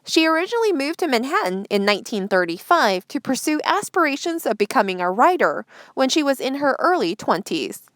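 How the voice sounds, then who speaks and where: A woman reads a scripted feature as the host of a news series. Her voice sits on the high side and moves through a very lively range of pitch. The recording is close and dry, with little room sound. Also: a little fast, hardly any pauses, dry recording. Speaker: host reading articles aloud. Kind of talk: scripted narration, read-aloud news feature.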